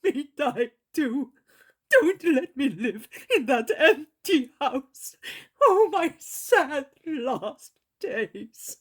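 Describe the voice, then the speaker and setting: A woman voicing a desperate old grandfather, pleading in a high, loud and tearful voice. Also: very animated, very slow, exaggerated voice.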